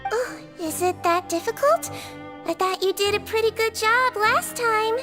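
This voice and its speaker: A woman voicing a small, kind, unfazed nonhuman head nurse in a video game, gentle, patient and playfully matter-of-fact. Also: very high-pitched, unhurried, over loud music. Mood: playful, thoughtful. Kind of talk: English video game voice acting.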